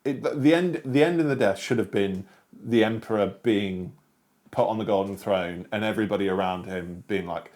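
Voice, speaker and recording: A man with a fairly low voice co-hosts a relaxed conversation. He talks in emphatic, animated bursts, then trails off into "like" and "um". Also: moderate pace. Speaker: co-host. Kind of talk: casual chat.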